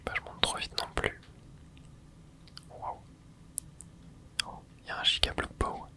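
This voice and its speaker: A host whispering casual ASMR commentary over gameplay, reacting excitedly and chatting to viewers. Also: a little slow. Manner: casual, chatty, self-correcting. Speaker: channel host.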